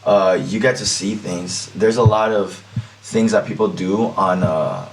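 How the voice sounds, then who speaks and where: A man's voice: sits low, measured pace, far from the mic, a little hiss or hum, poor audio. Laid-back young man, a bit off-mic. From Florida. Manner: relaxed, laughs easily, says "like" a lot. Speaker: guest musician. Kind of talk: casual interview chat.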